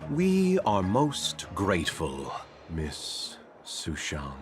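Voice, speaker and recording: A gentleman traveller in a video game, with a low, slow voice that moves expressively. He sounds calm and courteous over faint music. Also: exaggerated voice.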